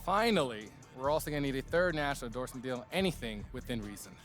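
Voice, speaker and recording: A man performs an abrasive executive in a game cutscene. He talks quickly at a medium pitch, precise and condescending, with faint music underneath. He is blunt and demanding. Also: animated, fairly bright tone, lightly acted. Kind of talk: video game cutscene acting.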